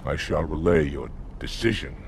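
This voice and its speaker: An actor playing a proud, stern alien warrior commander in a game, with a deep gravelly voice and formal address. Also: male voice, moderate pace, background noise. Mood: stern, indignant.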